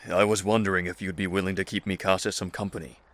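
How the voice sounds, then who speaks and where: A man acting a calm, articulate camp leader in an audio drama, speaking in a measured, dry way. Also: deep voice. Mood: earnest, measured.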